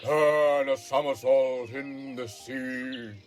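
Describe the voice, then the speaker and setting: A man's voice: mid-range voice, steady tone, close to an everyday voice. Male actor as a sad old-fashioned storybook turtle, sighing, dragging every word out, faint music behind. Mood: wistful. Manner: slow, drawn-out, sorrowful delivery. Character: mournful, sighing male Mock Turtle creature. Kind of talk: scripted audiobook character acting.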